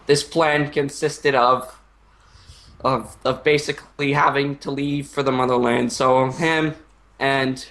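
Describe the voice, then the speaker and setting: A young man improvising a comic story in a casual, halting, rambling way with crude slang. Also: medium pitch, fairly bright tone.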